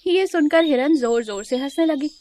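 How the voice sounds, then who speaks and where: High-voiced woman narrating a children's story. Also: lively intonation, moderate pace, warm tone.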